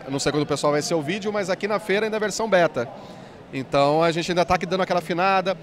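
A man in his forties, an app company founder, explaining his product eagerly in an interview at a trade fair, speaking into a handheld mic. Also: on the high side. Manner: fast, enthusiastic explainer with many "né"s. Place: busy indoor expo hall.

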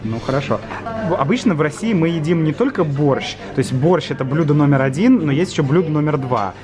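A young man hosting a vlog, chatting excitedly on a city street and in a restaurant, filmed at arm's length. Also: a little high. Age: young adult. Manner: excitable, exclaims loudly, explains slowly. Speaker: vlog host, Russian teacher. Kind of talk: casual chat.

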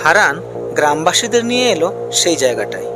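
A storyteller giving scripted narration for an animated village folk tale. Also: medium pitch, fairly quick. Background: music.

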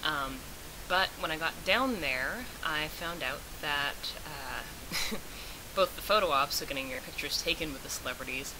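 A woman's voice: deep voice, lively intonation, measured pace. A young woman giving a casual vlog recap, speaking as if to friends from a room at home. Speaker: vlogger recounting a fan convention. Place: small room at home, indoors. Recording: noisy home recording with hiss.

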